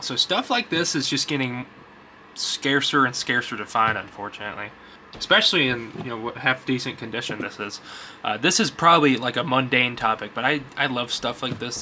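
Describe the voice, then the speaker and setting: Bright-voiced man rambling casually. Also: medium pitch, slight background noise. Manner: informal, folksy grammar. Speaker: YouTube vlogger, vintage electronics collector. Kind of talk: casual rambling vlog chat.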